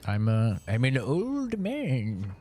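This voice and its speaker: A man's voice: medium pitch, huge pitch swings, slow, dark tone. A man in his late twenties chatting casually while he streams a game, rambling frankly with lots of fillers. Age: late 20s. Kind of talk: casual chat while gaming.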